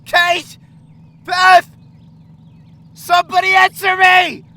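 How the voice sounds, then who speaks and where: A man's voice: very high-pitched, monotone, slow pace, pushed and bright, exaggerated voice. An actor playing a panicked, protective man in an audio drama, with urgent, emotional delivery. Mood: panicked, pleading. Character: panicked, protective male friend searching and pleading.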